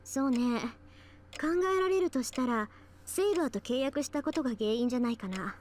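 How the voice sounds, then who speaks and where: A woman voicing a sharp-tongued teenage schoolgirl mage in a visual novel, brisk, matter-of-fact and a little scolding. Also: high-pitched, lively intonation. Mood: explaining. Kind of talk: Japanese visual novel voice acting.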